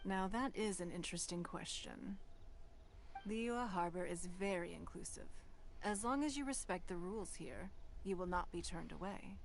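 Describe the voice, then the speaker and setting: A poised noble ruler. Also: female voice, on the low side, creaky, slight background noise. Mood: composed. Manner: measured, formal, composed. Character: poised, commanding noblewoman ruler, calm and calculating. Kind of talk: game voice acting.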